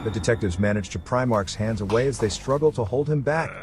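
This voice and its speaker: A man's voice: on the low side, animated, quick pace. A man reading scripted, dramatic narration for a suspense story. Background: music.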